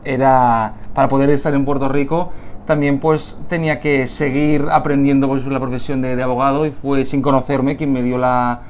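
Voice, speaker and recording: A man's voice: medium pitch, little pitch movement, normal speed, darkish tone, a little hiss or hum. A man from Barcelona with a Catalan Spanish accent, an easygoing lawyer guest in his thirties who starts his answers hesitantly. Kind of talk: casual interview.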